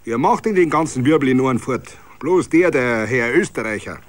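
A bitter Bavarian tradesman, acted out by a male reader, grumbles indignantly in thick dialect. His pitch jumps about freely as he hammers each point home in a tavern scene from a radio play. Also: animated, normal speed, natural voice. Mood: grumbling.

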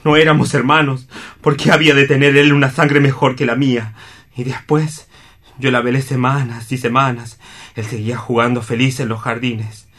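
A man's voice: medium pitch, level tone, normal speed, a bit bright, lightly acted. A man acting in a radio play as a bitter, anguished brother, speaking with impassioned reproach. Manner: impassioned, reproachful, self-pitying.

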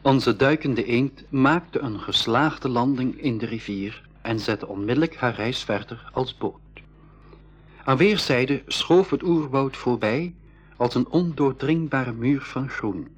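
Earnest young adventurer narrating a radio play, measured phrasing, husky mid-pitched voice. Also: male voice. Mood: tense narration. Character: Piet, boy adventurer recounting his journey, earnest. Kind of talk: scripted first-person storytelling in school radio play.

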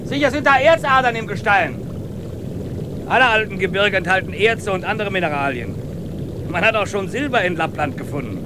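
An actor playing a cheerful, knowledgeable hiker in a radio drama, explaining with enthusiasm. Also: male voice, high-pitched, animated, a little fast, noisy background. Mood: enthusiastic.

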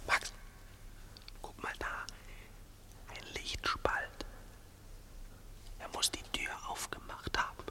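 An actor playing a timid, clumsy burglar's accomplice in a 1960s radio play, hushed, anxious and pleading. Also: male voice, slow pace, pauses often, whispered, lightly acted. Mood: anxious whisper. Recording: 1960s radio recording, music behind.

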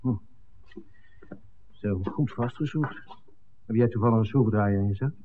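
A man's voice: on the low side, moderate pace, slight background noise, close to an everyday voice. An actor playing a wry, hesitant cameraman in a 1960s Dutch radio drama. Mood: wry relief. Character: Mark, wry hesitant cameraman hiding in a church. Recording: thin, phone-like old radio recording.

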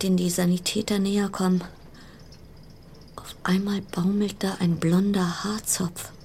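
A woman's voice: lowish voice, darkish tone, a little hiss or hum. An actress playing an uneasy, wry woman confined aboard a ship, musing in fragments in a radio play monologue. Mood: anxious, brooding, then whispering, fearful.

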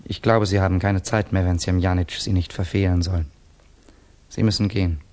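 An actor in a 1960s German radio drama playing a young Russian student, a proud and fearful loner, intense and rhetorical. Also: male voice, deep voice, flat delivery, normal speed, a little mellow, close to an everyday voice. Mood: curt.

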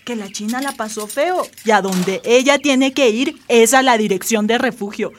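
An actress playing a bossy, protective friend, blunt and slangy, in a radio drama. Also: female voice, fairly high pitch, normal speed, a little hiss or hum, lightly acted. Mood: scolding.

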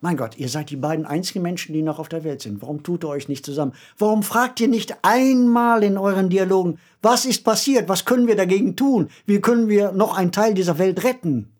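A man's voice: sits high, a little fast, hardly any pauses, a little mellow. A man co-hosting a conversational discussion, speaking in an emphatic, reflective storytelling way. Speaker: podcast co-host and author. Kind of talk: conversational discussion of a radio play.

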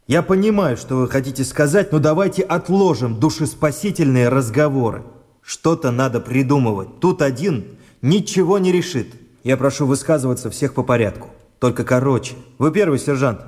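An actor in a Russian radio play playing a no-nonsense army captain, brisk, clipped and commanding. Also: male voice, mid-range voice, lively intonation, darkish tone, natural voice. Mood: brisk, firm. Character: no-nonsense army captain keeping order among trapped people.

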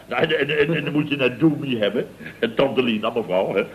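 A man's voice: medium pitch, normal speed, natural voice, poor audio. An actor playing a boastful, self-important male building contractor with a Dutch accent, telling tales in a pompous, stammering, chuckling way in a radio comedy serial. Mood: smug, amused. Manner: pompous, stammering repetitions, chuckling, mock indignation.

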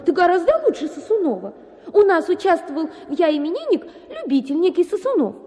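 An actress playing a self-pitying, capricious young wife in a radio drama, with an affected, sighing, melodramatic manner. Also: female voice, very high-pitched, big pitch swings, fairly quick, mellow. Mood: animated, gushing. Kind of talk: dramatized literary short story for radio.

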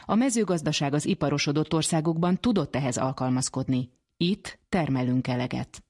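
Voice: mid-range voice, darkish tone, husky. A radio presenter reading scripted narration for a programme. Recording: music under the voice. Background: music.

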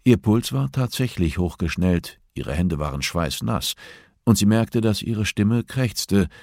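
A man's voice: fairly low pitch, expressive, measured pace, a little mellow, dry recording, studio quality. A man reading a novel aloud as scripted audiobook narration.

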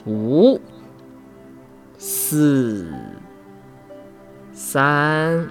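A man's voice: fairly high pitch, very slow, soft background music. A man co-hosting a casual livestream in an easygoing, agreeable way. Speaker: co-host of a livestream for an audio drama.

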